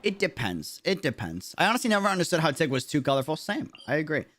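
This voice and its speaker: A man's voice: on the high side, sing-song, quick pace, a bit bright. A young man from Latin America giving casual livestream commentary as a game streamer, chatty and slangy, often thinking aloud. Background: video game music.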